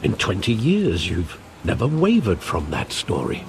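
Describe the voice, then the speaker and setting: An actor playing a loyal elderly male butler, speaking in a measured, formal, grave way. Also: fairly low pitch, huge pitch swings, background noise. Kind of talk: video game cutscene acting. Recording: game audio with rain ambience. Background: rain and ambience.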